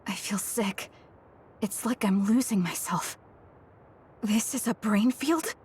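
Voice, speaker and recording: A woman's voice: medium pitch, takes it slowly, darkish tone, husky. A woman playing a determined, anguished young psychic soldier in a dramatic video game, speaking with grave, introspective resolve. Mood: weary, remorseful.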